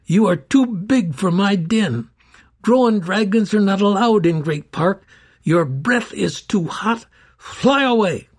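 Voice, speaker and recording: A man's voice: high-pitched, expressive, slow, husky, pitched above the performer's own voice, exaggerated voice. A man voicing a young princess in a storybook reading, speaking in a majestic, commanding way and crying out for help.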